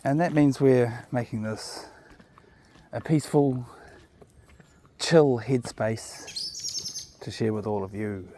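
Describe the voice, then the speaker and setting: A man's voice: mid-range voice, slow pace, long pauses, warm tone, a little hiss or hum. Laid-back New Zealand man rambling outdoors. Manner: laid-back, chatty, jokey asides. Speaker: vlogger walking his dog. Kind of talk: casual rambling monologue. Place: outdoor park walking trails. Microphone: chest-mounted camera.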